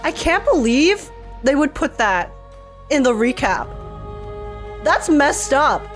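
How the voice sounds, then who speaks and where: A woman with a fairly high voice talks like a game streamer reacting on camera. She is informal and gushing, often doubling back on phrases to stress them. Some music plays behind her. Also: big pitch swings, slow pace. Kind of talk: casual excited gaming commentary.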